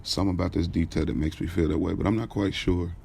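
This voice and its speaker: A man voicing an aloof, blunt, sensible male acquaintance in audio drama dialogue, measured and matter-of-fact. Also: deep voice, fairly even intonation, gravelly, warm tone, slight background noise.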